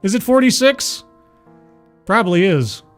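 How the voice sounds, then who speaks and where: A man's voice: sits high, very animated, a little slow, faint music behind. A man in his forties hosting a livestream, chatting casually with viewers and laughing at himself. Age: 40s. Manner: rambling, self-deprecating, laughs often. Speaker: livestream host. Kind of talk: casual chat with live chat.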